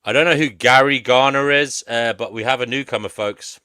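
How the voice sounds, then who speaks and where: A man's voice: mid-range voice, a little fast, bright, forceful tone, clean tone, no echo. A man hosting a live panel stream, chatting casually and informally with guests.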